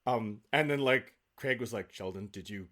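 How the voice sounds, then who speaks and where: A man in an interview, telling anecdotes with nerdy, animated enthusiasm. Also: fairly low pitch, normal speed, very noisy. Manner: enthusiastic, self-confessed film nerd. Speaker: guest, stage actor.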